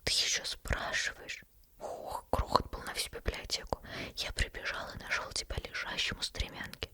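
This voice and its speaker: A woman voicing a worried old male librarian in a soft ASMR whisper, fussing and relieved. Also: moderate pace, lightly acted. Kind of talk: ASMR storytelling.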